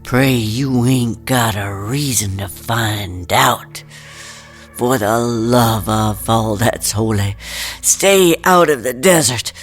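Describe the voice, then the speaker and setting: Slow, pleading old country woman. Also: rumbling low, soft background music, heavily acted. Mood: desperate pleading. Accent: rural American. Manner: urgent, imploring, folksy. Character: frightened, pleading old woman warning of evil spirits. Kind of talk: audio drama acting.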